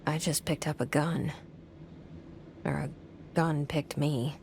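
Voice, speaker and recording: Slow, guarded inner voice. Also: female voice, low voice, fairly even intonation, creaky, darkish tone, a little hiss or hum, heavily acted. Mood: dry. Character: guarded young woman's private inner thoughts. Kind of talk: game inner monologue.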